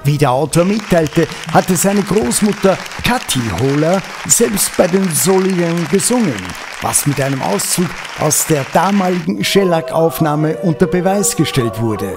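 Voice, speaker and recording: A man's voice: fairly high pitch, animated, measured pace, few pauses. An Austrian man narrating a scripted regional news report as its reporter. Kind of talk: scripted news narration and short interview questions. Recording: music and crowd noise behind.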